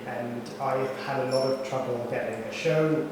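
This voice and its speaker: Man, casual and chatty, drag artist doing makeup mid-talk; far from the mic in a booming hall with steady noise. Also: moderate pace. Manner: chatty and animated, many asides. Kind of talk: casual conversation. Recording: very echoey room heard from far off.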